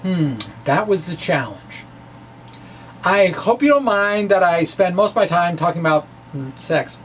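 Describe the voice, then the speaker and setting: A man giving an informal, halting reading of his science blog, with wry conversational asides. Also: sits high, animated, a bit bright. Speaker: science blogger and presenter. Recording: distant mic with faint background noise.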